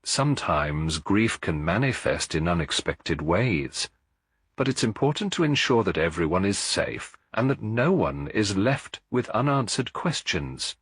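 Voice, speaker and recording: A kindly elderly lady sleuth, voiced by a male narrator, in a mystery audiobook. The speech is polite, measured and gently probing, with lively movement in pitch and a slightly husky edge. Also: dry recording, clean studio sound, full character voice. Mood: gentle, probing.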